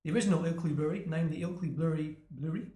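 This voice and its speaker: Fast-talking man hosting a beer podcast. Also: fairly high pitch, warm tone. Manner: chatty, reads out facts, jokey. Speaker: podcast host. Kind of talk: casual chat. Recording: echoey room.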